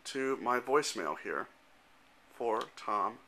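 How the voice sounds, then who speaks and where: A man in his thirties giving an informal, matter-of-fact demonstration as a software developer, explaining things step by step into a handheld camera. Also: mid-range voice, lively intonation, slow pace. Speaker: software developer presenting a demo. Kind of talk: informal product demonstration narration. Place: indoor room at home. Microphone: handheld camera microphone.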